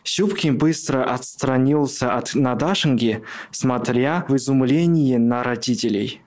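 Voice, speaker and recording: A man's voice: medium pitch, a little slow, a little mellow. A man who is a native Chinese speaker, reading scripted narration for a radio play.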